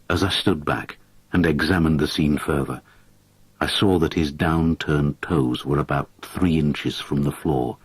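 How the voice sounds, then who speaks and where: A man narrates a haunting tale in a measured, dramatic reading that sinks to a hush at the grim parts. His voice sits very deep and carries a husky, breathy grain. The recording is dry and close, with no room around it. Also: no echo. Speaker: audiobook narrator. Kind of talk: scripted narration of a haunting story.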